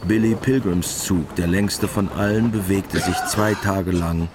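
A man's voice: deep voice, fairly even intonation, a little slow, background noise. A man narrating a radio drama in a scripted literary style. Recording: clear music bed behind.

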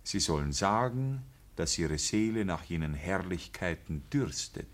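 A man's voice: low-pitched, expressive, measured pace. A man playing a pious, unbending village priest in a radio play, preaching in a formal, insistent manner. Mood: fervent, admonishing. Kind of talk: radio play acting, clerical declamation.

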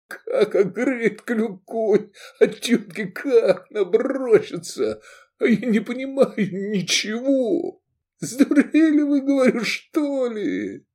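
A man voicing a brash, hot-tempered gladiator with blunt, slangy, excitable speech in an audiobook. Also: on the high side, slow, hardly any pauses, pitched above the performer's own voice, full character voice. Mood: boisterous glee.

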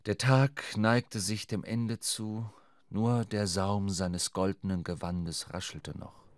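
A man's voice: fairly low pitch, fairly even intonation, slow pace, no echo. A man narrating a literary sea adventure for a radio drama, recorded close in a studio.

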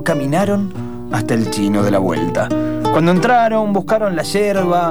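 A man narrating a story as part of improvised radio comedy. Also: a little high. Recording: loud guitar music under the voice. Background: loud guitar music.

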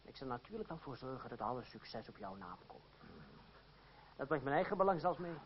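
An actor playing a shrewd, sardonic young stage player in a radio play, mocking, assured and coolly domineering. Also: male voice, medium pitch, big pitch swings, brisk, close to an everyday voice. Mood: forceful. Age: young adult. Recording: dull, noisy old radio play recording.

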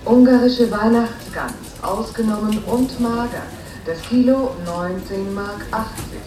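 A woman's voice: mid-range voice, a little slow, a crowd in the background, close to an everyday voice. A woman acting a department store saleswoman in a radio play, reciting Christmas bargains and prices in a promotional announcement. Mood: salesy, promotional. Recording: echoey store loudspeaker, distant.

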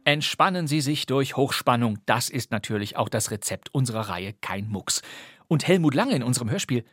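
A man's voice: medium pitch, expressive, moderate pace, fairly bright tone, slightly rough, no room sound, studio quality, faint music behind. A man presenting a podcast of classic radio crime plays, speaking in a scripted, playful and wry way.

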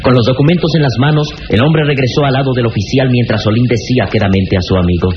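A man's voice: mid-range voice, steady tone, fast talker, background noise. Male dramatic radio narrator, muffled vintage sound. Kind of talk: dramatic scripted 1960s radio serial narration. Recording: dull vintage radio recording with music.

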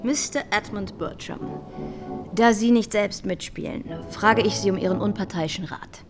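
A woman's voice: mid-range voice, moderate pace, over music, natural voice. An actress playing a witty, poised young society lady in a radio drama, arch and polite. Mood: teasing.

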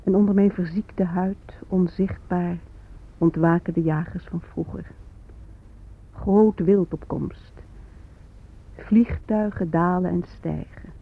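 An actress playing the Earth herself, a patient, wounded, mystical figure, in a deliberate and poetic radio drama monologue. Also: female voice, on the low side, steady tone, breathy edge, full character voice. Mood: hushed, ominous. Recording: tinny, phone-like old radio recording.

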